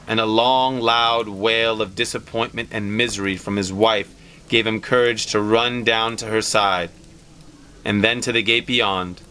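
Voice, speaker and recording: A male narrator reads a story aloud with dramatic delivery. His voice is mid-pitched, bright and forceful, over a slightly noisy recording.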